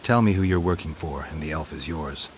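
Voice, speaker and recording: Gruff, deep-voiced stoic swordsman. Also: brisk, noisy background. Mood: terse, demanding. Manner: terse, dry, questioning. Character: stoic, gruff monster-hunting swordsman, adult man. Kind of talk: video game acting.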